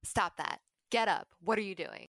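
A woman's voice: mid-range voice, moderate pace, fairly bright tone, no room sound. Casting: bashful, flustered love interest played by a woman, mid-range voice, hesitant, for an audio drama. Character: flustered, shy young woman being courted.

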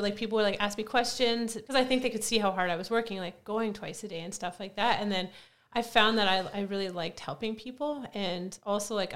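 A woman guest in a casual podcast interview, chatty and self-deprecating, who says she has a loud voice. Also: mid-range voice. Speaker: guest, CrossFit coach.

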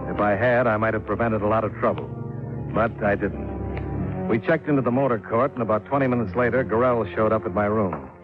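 Low-voiced radio detective played by a man, muffled. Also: a little fast, over music, close to an everyday voice. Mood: terse, watchful. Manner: terse, matter-of-fact, unruffled. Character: cool, watchful male insurance investigator narrating his case. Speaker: lead actor, narrator. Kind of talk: 1950s radio detective narration and dialogue. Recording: muffled 1950s radio recording.

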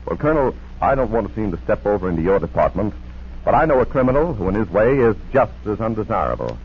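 A man's voice: on the low side, sing-song, fast, slightly rough. A man working as a 1940s radio announcer, with a polished, emphatic sales delivery. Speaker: radio announcer and sponsor pitchman. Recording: dull, hissy 1940s radio broadcast.

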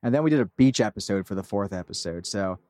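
A man's voice: lowish voice, animated, fast talker, mellow, no echo. Casual man in a dry-sounding interview. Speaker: podcast creator being interviewed.

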